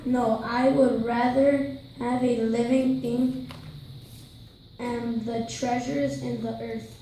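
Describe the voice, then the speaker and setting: A woman reading the part of a shrewd, bargaining little magic man in readers theater, haltingly, in a very echoey, distant recording. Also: fairly high pitch, flat delivery, warm tone. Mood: demanding. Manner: halting, stumbling line reading. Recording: very echoey, distant, some hiss.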